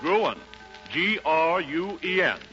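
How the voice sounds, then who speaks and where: Lively 1930s radio ad man, muffled recording. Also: fairly high pitch, slow pace. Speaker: commercial announcer. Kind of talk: 1930s radio advertisement read. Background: music.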